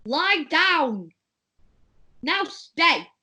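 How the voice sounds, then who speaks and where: A woman playing an eager young high-born boy in a script table read, with a commanding, childlike manner. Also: sing-song, extremely slow, bright, forceful tone, full character voice. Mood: stern.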